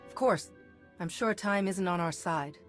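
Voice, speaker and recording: An actress playing a composed, earnest, reassuring commander in a video game. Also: female voice, on the low side, unhurried, faint music behind, close to an everyday voice. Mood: resolute. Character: calm, reassuring female hero commander.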